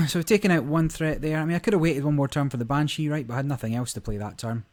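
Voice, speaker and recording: Slightly croaky man casually commenting gameplay. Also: fairly high pitch, quick pace. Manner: relaxed, rambling, many fillers. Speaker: gaming channel host.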